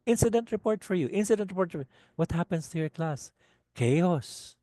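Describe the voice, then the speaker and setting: Low-voiced Filipino man teaching teachers. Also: moderate pace, no room sound. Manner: animated, repeats "okay?" often. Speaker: teacher trainer. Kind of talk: webinar lecture for teachers.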